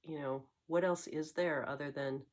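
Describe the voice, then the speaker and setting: A woman hosting a tarot channel, getting over a cold and slightly congested, speaking in a reflective, musing way with frequent pauses and fillers. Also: sits low, steady tone. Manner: musing, searching for words, many fillers. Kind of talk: reflective spoken monologue.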